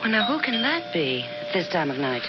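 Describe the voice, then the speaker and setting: A woman's voice: lowish voice, big pitch swings, moderate pace, loud music behind the voice. An actress playing a concerned, practical married woman, frank and probing, in 1970s radio drama style. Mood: surprised. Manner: probing, earnest. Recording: dull, noisy vintage radio recording.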